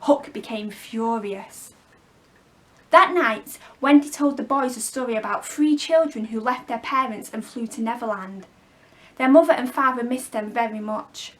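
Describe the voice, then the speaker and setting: A woman storyteller reading a children's story expressively, with clear phrasing for young listeners. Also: on the high side, unhurried, a bit bright. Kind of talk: expressive scripted storybook narration.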